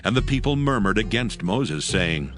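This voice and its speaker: Deep, expressive male scripture narrator. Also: moderate pace. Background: music.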